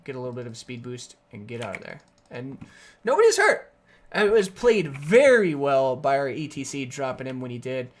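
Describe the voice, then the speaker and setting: A game streamer giving live gameplay commentary in a raspy, sore voice, upbeat and explaining plays with sudden excited outbursts. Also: medium pitch. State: raspy, sore voice from energy drinks.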